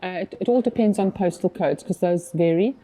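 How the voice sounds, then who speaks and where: A woman's voice: on the low side, a little fast, dark tone, low-quality recording. A woman in her fifties from South Africa with a South African accent, chatting casually as an interview guest with many fillers and restarts. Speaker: magazine publisher guest.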